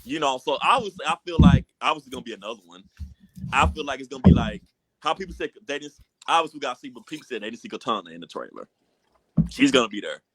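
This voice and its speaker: A man's voice: mid-range voice, expressive, fast talker, rough recording. An American man talking casually with friends as a podcast host. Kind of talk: casual group podcast chat.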